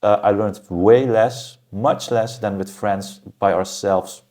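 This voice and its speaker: A man from Germany, a podcast guest, talking about his path in a steady, thoughtful way with frequent "uh" fillers. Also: on the low side, slow pace. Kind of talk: casual interview talk.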